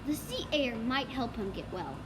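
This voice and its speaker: A woman's voice: fairly high pitch, very animated, normal speed. A female student reading a children's storybook aloud, with noise behind the voice. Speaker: student reader. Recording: clearly noisy background.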